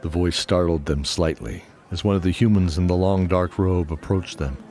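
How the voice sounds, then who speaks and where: A man's voice: low voice, normal speed, darkish tone. A man narrating a fantasy audio drama as scripted storytelling. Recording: music under the voice. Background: music.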